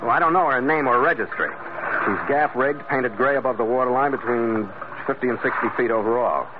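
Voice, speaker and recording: An actor playing a tough sea captain with an American accent, narrating in clipped, matter-of-fact 1940s radio adventure style. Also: male voice, mid-range voice, fairly quick, background noise, natural voice. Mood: dry, matter-of-fact. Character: terse, tough male sea captain narrating his log. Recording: old narrowband radio recording with loud music.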